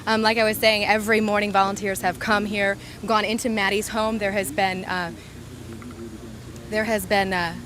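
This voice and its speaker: An American woman giving a halting, ad-libbed live news report from the field. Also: medium pitch, little pitch movement. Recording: live field audio with crowd noise.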